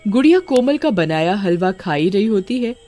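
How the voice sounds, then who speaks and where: A woman narrating a cartoon horror story in an even, storybook manner. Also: medium pitch, normal speed, faint music behind.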